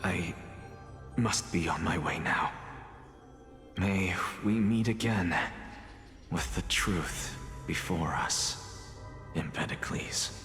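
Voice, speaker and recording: An actor playing a proud, devoted scholar in a game, speaking with grave, formal deliberation. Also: male voice, low voice, slow, pauses often, a bit bright. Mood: resolute. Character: proud scholarly man, devoted truth-seeking heretic. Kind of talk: dramatic scripted game dialogue. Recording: echoey hall reverb, faint music behind.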